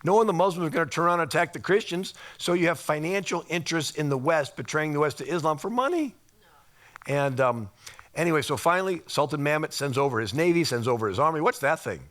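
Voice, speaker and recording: A man with a slightly raspy voice giving an informal history lecture, explaining things and posing rhetorical questions as he goes. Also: on the high side, lively intonation, fast, a bit bright.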